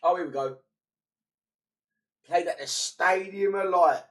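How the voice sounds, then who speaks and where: Congested bloke, mic some way off. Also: male voice, sits high, unhurried, fairly bright tone, distant mic. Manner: chatty and matey, muttering to himself between bursts. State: congested, voice partly gone. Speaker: streamer playing a football management game. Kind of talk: casual gaming commentary.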